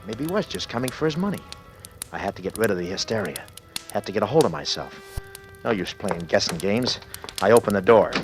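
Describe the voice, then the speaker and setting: A man's voice: fairly low pitch, close to an everyday voice. An actor playing a desperate, panicked businessman who narrates his ordeal in clipped, urgent sentences, in 1940s radio thriller style. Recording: noisy old-time radio recording.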